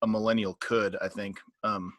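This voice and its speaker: A man's voice: fairly low pitch, level tone, normal speed. A man in his thirties chatting on a video call, hesitant, often repeating words as he starts a thought. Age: 30s. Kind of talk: casual chat on a video call.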